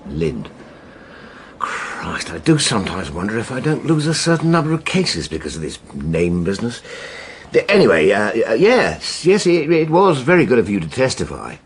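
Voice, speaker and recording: A man's voice: lowish voice, huge pitch swings, moderate pace, slight background noise. An actor playing a flustered, absent-minded male lawyer in a radio drama, rambling in hesitant stops and starts. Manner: hesitant, bumbling, stop-start.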